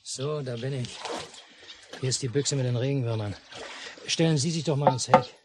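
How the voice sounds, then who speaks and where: An actor playing a gruff, bossy father and angler in a 1950s German radio play, giving terse, curt commands. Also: medium pitch, normal speed, natural voice. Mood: commanding. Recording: noisy mono 1950s radio recording.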